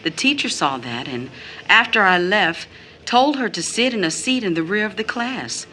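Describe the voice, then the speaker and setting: An actress playing a bitter, wounded mother in an audio drama, phrasing deliberately as she recounts her child's mistreatment. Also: mid-range voice, sing-song, bright, forceful tone, a little hiss or hum. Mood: bitter, pained. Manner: deliberate phrasing, quotes others in mimicked tones.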